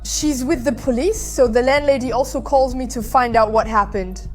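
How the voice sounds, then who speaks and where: A woman with a fairly high voice recounts events in a casual interview. She talks in an animated, conversational way, full of fillers. Faint music sits underneath. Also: moderate pace. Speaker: guest.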